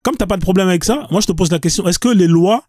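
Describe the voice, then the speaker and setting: A man's voice: high-pitched, quick pace, a little mellow. A man hosting a live debate, pressing insistently, interrupting and repeating his questions.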